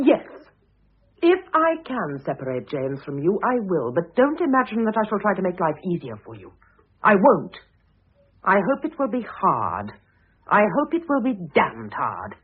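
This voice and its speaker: An actress playing a sharp-tongued, dignified older former wife in a classic radio drama, cutting and disdainful. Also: female voice, fairly low pitch, wildly swooping pitch, moderate pace, slightly rough, heavily acted. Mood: bitter anger. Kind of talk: classic stage-style radio drama acting. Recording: muffled narrow-band old radio recording.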